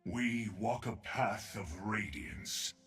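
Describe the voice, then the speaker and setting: An actor playing a proud, imperious ascended desert emperor in a video game, declaiming in a regal, theatrical way. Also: male voice, lowish voice, slow pace, a bit bright, faint music behind. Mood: solemn, grandiose.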